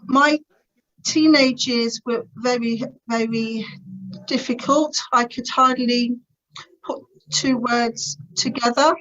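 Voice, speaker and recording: A woman's voice: a little high, steady tone, slow pace, fairly bright tone, lo-fi sound. Stammering woman in her fifties. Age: 50s. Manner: stammers, with frequent repeated sounds and blocks. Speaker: conference speaker. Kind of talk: personal talk at an online conference. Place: at home on a video call.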